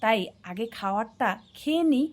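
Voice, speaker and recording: A woman plays a fussy, absent-minded cartoon dad. The voice is notably high for the part. He talks in a fretful, grumbling way. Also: expressive, moderate pace, close to an everyday voice. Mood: settled. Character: fussy, forgetful newspaper-loving father. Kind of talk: cartoon voice acting.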